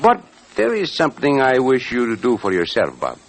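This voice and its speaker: An actor playing a gallant, daring Mexican cowboy hero with a husky voice, accented and courtly, in an old radio western. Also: male voice, medium pitch, wildly swooping pitch, moderate pace, low-quality recording. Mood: kindly, calm. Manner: courtly, confident, theatrical.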